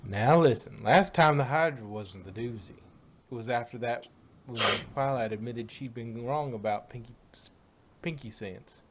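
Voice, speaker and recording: A performer voicing a plain-spoken, steady female farm pony with a folksy, reassuring Southern US drawl. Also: mid-range voice, a little slow, very noisy, natural voice. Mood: firm, calming.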